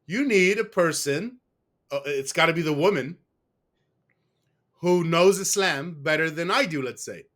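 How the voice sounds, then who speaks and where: A man's voice: a little high, animated, a little slow, pauses often, a bit bright. A man being interviewed, telling a long personal story in a rambling, casual way. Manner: rambling, with restarts and fillers. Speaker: guest. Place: West.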